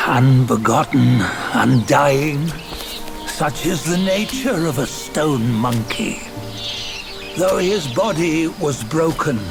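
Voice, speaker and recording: Game cutscene: solemn aged elder, hushed and reverent, very slow delivery with gravelly fry. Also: male voice, on the high side. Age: older. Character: weary, solemn old monkey elder telling a legend. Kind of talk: dramatic game cutscene narration.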